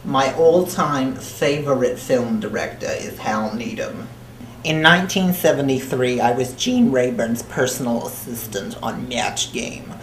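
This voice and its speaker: A woman playing a crude, brash elderly woman hosting a talk show, rambling in a deadpan, foul-mouthed way with an odd accent. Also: unhurried. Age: 80s. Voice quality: low-pitched, with an odd accent. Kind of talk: comedic monologue. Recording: roomy with faint hiss.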